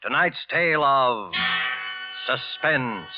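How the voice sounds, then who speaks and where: A male host announces in the formal, dramatic style of forties radio drama. His mid-pitched voice sits over clear music, on a dull old broadcast recording. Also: expressive, slow. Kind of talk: 1940s radio scripted announcing.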